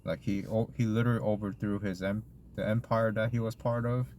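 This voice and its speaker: A man's voice: fairly low pitch, steady tone, a little fast, darkish tone, a little hiss or hum. A man co-hosting a casual podcast, rambling with lots of fillers as he recaps a show. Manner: rambling, lots of likes and ums. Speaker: podcast co-host. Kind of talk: casual chat recapping a convention.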